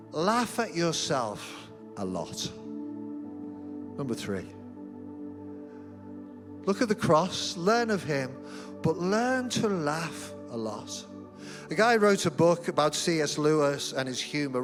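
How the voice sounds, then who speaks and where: A middle-aged man preaching a sermon as senior pastor in a church, telling humorous, self-deprecating stories. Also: a little high. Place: church auditorium.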